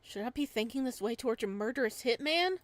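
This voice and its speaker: A woman's voice: fairly high pitch, animated, fast talker, dry recording, close to an everyday voice. A woman voicing a timid young male student in a visual novel, nervous, awkward and easily flustered.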